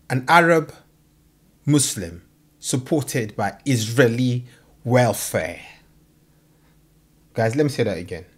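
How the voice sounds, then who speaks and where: A woman's voice: a bass voice, slightly rough. A woman hosting a reaction video, commenting emphatically and repeating phrases for effect.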